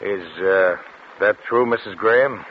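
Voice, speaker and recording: Guy playing businesslike cop inspector, deep and doubtful, old 40s radio drama, bit of hiss. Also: a little slow, lightly acted. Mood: skeptical, probing. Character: skeptical, businesslike male police inspector. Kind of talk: 1940s radio drama acting. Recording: muffled, hissy vintage 1940s radio recording.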